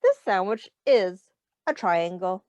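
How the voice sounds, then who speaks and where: A woman teacher reading a picture book aloud to young children, explaining in simple words, asking questions and pausing for answers. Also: medium pitch, animated, darkish tone, dry recording, dull audio. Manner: addresses children directly, pausing for their answers.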